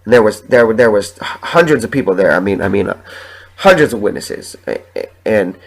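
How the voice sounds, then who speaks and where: A young man hosting his own podcast while sick with the flu, sniffling and talking in a halting, rambling, emotional way with plenty of swearing. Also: on the low side, animated, creaky, low-quality recording. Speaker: podcast host. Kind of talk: solo podcast monologue.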